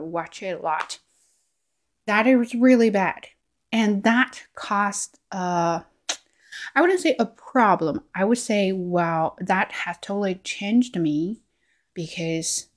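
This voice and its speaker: A woman's voice: medium pitch, darkish tone. A woman with a Chinese accent, whose first language is Chinese, talking earnestly to camera as a language learning vlogger, often saying "like" and "right?" and restarting her sentences. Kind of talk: casual reflective monologue.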